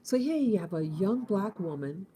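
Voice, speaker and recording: Woman, 50s, from the United States, very mellow dark voice, host of a morning talk, halting and repetitive. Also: mid-range voice, expressive, slight background noise. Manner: halting, repeats and restarts, musing. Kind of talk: casual spoken morning reflection.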